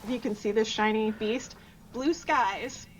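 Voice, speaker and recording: A woman's voice: on the high side, takes it slowly, a little hiss or hum, rough recording. A woman author chatting casually as a podcast guest in an agreeable, lightly wry way. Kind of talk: casual chat.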